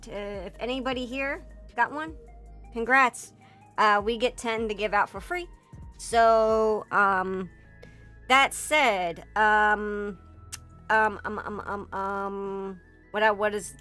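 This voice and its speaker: Hoarse, rambling woman streamer. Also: medium pitch, fairly bright tone. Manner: rambling, filler-heavy and profane, breaks into laughter. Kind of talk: casual livestream chat. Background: faint background music.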